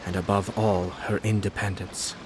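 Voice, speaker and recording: An actor playing a calm, shrewd young noble lord in a video game, speaking in a measured, formal, composed way. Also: male voice, sits low, noisy background, close to an everyday voice. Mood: grave.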